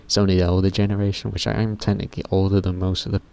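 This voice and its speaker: A teenage boy with a very deep voice, rambling casually to camera in a vlog, often stopping himself mid-sentence and swearing offhandedly. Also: level tone, racing, creaky, mellow, smooth. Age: teens. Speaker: YouTuber.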